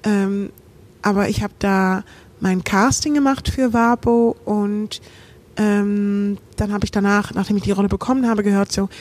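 Dutch-accented actress, melodic speech. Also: female voice, mid-range voice, steady tone, measured pace, darkish tone. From Netherlands. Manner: thoughtful, hesitant, melodic phrasing. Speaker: stage actress, guest. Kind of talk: casual podcast chat.

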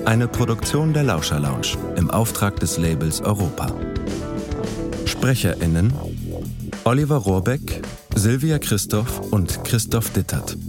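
A man reading out the closing credits of a podcast in an even, matter-of-fact way. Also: lowish voice. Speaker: announcer.

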